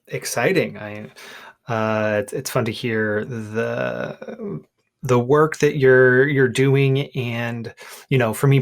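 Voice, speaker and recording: Guy hosting a casual podcast, medium pitch, chatty and kinda loose phrasing. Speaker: podcast host and coach.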